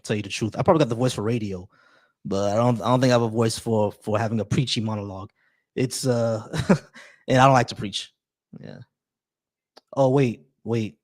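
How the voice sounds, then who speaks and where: A man hosting a casual live stream, relaxed and chatty, greeting viewers with shout-outs and often restarting his sentences. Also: mid-range voice. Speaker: livestream host.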